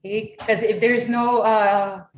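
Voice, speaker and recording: Woman host of an online youth panel, encouraging, unhurried, roomy video-call sound. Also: mid-range voice, steady tone, muffled recording. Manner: encouraging, chatty, drawn-out delivery. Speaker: moderator. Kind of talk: online forum moderation.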